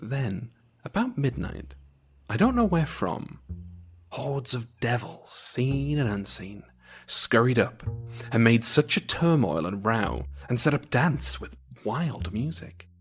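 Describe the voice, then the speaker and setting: Male narrator for old folktales, expressive read-aloud, animated mid-range voice. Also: medium pitch, slow pace, slightly rough, faint music behind. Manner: expressive storytelling with varied intonation. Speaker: storyteller.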